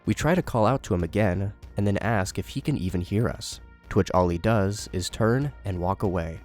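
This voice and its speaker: A man with a low voice narrates and comments on a horror series from a script. Faint music runs behind his delivery. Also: moderate pace, a little mellow.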